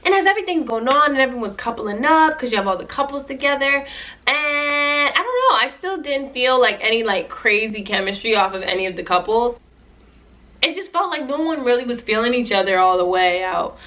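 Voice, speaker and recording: A woman's voice: on the high side, animated, a little fast, sharp tone. A young woman vlogger chatting casually in a playful, joking way about a show she watched. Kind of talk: casual reaction commentary.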